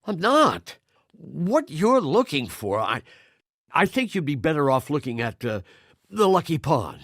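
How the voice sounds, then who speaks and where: An actor playing a cowardly, evasive butcher who stammers apologetically in a dramatic video game. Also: male voice, a little high, big pitch swings, a little slow, fairly bright tone, husky, heavily acted. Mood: nervous, evasive. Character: nervous, cowardly male butcher shop owner, evasive and pleading.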